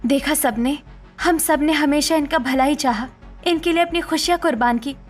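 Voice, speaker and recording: Woman acting a fed-up young daughter-in-law, high voice, earnest, assertive, cartoon drama, music underneath. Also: moderate pace, close to an everyday voice. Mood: indignant resolve. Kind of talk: Hindi cartoon story dialogue.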